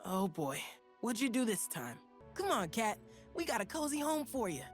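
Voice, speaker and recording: A woman's voice: fairly high pitch, very animated, moderate pace, faint music behind. A woman voicing a wisecracking, carefree young adventurer in a fantasy game, with breezy, playful banter.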